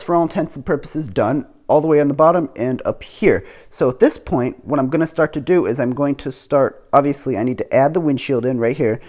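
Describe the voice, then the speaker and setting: A man casually narrating a show-and-tell of his building project at home, talking things through with fillers as he goes. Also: medium pitch. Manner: relaxed, thinking aloud with fillers. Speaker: hobby model builder. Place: home room with model on table.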